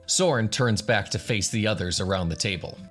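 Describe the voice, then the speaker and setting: A man's voice: lowish voice, brisk, fairly bright tone, soft background music. A man narrating a scripted fantasy radio drama. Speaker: narrator, game master.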